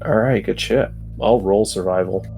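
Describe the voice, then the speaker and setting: A man with a fairly low voice chats as a player in a tabletop game. His manner is irreverent and casually sweary, and music is clearly audible behind him. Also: normal speed, a little mellow.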